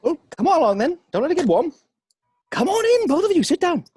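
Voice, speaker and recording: A man's voice: very high voice, big pitch swings, quick pace, dark tone, no echo. A man playing a charming, brash seventy-year-old tycoon in a script table read, with a jovial, showy delivery. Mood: hearty, coaxing.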